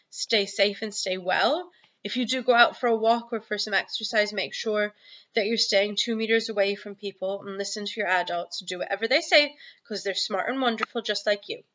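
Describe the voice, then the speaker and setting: A woman's voice: medium pitch, bright, forceful tone, highs cut off. A woman primary school teacher reading aloud and chatting to her pupils, explaining things simply and encouragingly. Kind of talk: animated storybook read-aloud with chatty asides to pupils.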